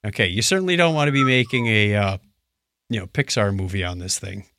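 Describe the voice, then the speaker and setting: A middle-aged or older man hosting a casual tech talk show, chatting conversationally and thinking out loud as he goes. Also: lowish voice, a little fast, no echo, studio quality. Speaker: podcast host.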